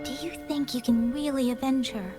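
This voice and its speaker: Woman playing a fairly high-voiced, resolute young soldier. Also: steady tone, brisk, music in the background, close to an everyday voice. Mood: firm. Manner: earnest, polite, firm.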